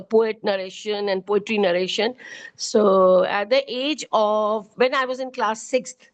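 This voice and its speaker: A middle-aged woman with an Indian accent, a poet and educator answering interview questions earnestly, often pausing with fillers. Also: a little high. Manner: earnest, hesitant with frequent fillers.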